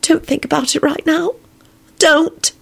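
A woman's voice: high voice, fairly even intonation, measured pace, creaky, voice pushed up. A woman voicing an emotional, easily flustered young woman in an audiobook story, breathless and exclaiming. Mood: anguished, tender.

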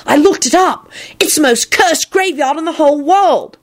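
A woman voicing a frustrated, demanding, tearful young boy in an audiobook, with shrill wailing delivery. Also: sing-song, moderate pace. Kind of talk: audiobook character voice.

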